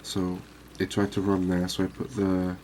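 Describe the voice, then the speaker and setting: A man giving unhurried, murmured ASMR commentary as he hosts a fishing game series. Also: low-pitched, completely flat delivery, darkish tone, slight background noise. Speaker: host of a gaming series.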